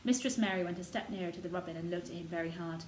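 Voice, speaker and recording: A woman's voice: on the low side, fairly even intonation, very fast, darkish tone. A woman reading scripted narration from a children's novel. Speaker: storybook reader. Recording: roomy echo, noticeable background noise.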